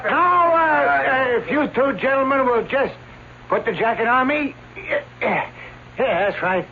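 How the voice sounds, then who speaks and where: A man's voice: extremely high pitch, measured pace. An actor playing an elderly male stage escape artist who talks in grand, theatrical showman patter, in vintage radio drama acting. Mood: coaxing. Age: older. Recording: tinny old radio recording with hiss.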